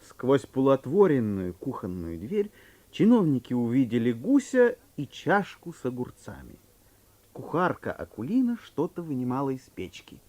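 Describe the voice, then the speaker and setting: Expressive male radio-play narrator. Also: mid-range voice, normal speed. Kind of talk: scripted literary narration in a 1950s radio play.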